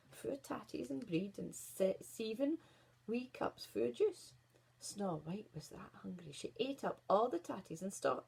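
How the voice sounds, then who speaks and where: A woman with a Scottish accent reading a children's fairy tale in Scots as an expressive storyteller. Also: mid-range voice, creaky, husky.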